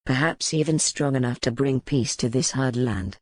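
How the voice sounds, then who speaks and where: A seasoned medieval duke played by a woman speaks in a deep, lowered register. He is commanding yet gentle, a soldier and lover from a historical romance audiobook, recorded dry. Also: normal speed, no room sound. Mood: proud. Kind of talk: dramatic audiobook character reading.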